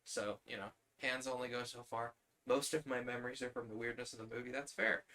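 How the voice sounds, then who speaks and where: A man with a bright, forward voice at an ordinary male pitch hosts a casual livestream. He rambles conversationally, drifting between talking to his chat and muttering to himself. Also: fairly even intonation, brisk. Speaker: livestream host.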